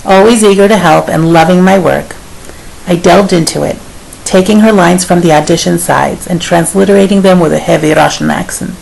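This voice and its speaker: A woman speech and dialect coach reading a scripted piece of advice in a measured, advisory tone. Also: lowish voice, vocal fry, darkish tone, poor audio. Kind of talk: scripted narration. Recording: faint hiss.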